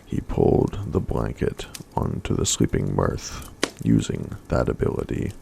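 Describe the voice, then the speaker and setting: Soft-spoken male ASMR storyteller; very deep, gravelly, slow read. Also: very animated, slight background noise.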